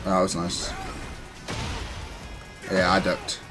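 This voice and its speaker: A man giving casual, analytical commentary on his own gameplay, self-critical but matter-of-fact and even-tempered. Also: sits low, slow pace, over music. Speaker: gaming content creator commentating on own matches.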